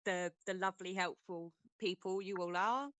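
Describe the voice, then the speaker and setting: A woman moderator offers shy, giggly thanks with plenty of pauses. Her voice is smooth and clear, recorded dry and close. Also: fairly low pitch, steady tone, a bit bright, no echo. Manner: giggly, hesitant, with many "um"s. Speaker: community moderator. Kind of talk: casual thank-you remarks on a voice chat.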